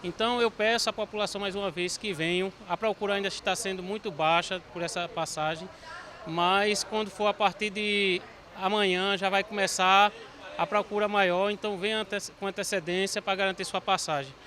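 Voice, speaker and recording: Bright high-voiced man, outdoor interview. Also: fairly even intonation, fairly quick. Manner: explanatory, urging the public. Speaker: bus terminal official. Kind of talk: news interview.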